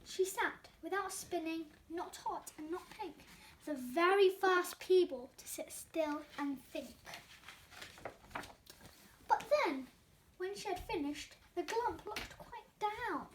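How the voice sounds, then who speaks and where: A girlish female storyteller reads a picture book aloud. Her voice is very high and her delivery is expressive. The recording has faint background noise and small clicks. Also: slow. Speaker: storybook reader and presenter. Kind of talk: expressive children's story reading.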